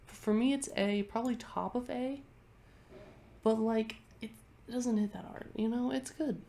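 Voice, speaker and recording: A woman's voice: a little high, steady tone, a little slow, vocal fry, dark tone. A congested woman vlogger chatting casually into her webcam at home, rambling and often restarting her sentences. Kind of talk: casual chat ranking things on a tier list.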